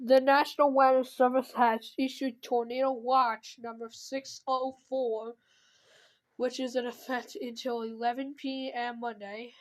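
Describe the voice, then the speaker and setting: A woman's voice: sits high, level tone, a little slow, rough recording. A woman announcing a scripted emergency weather bulletin, stumbling at times and correcting herself.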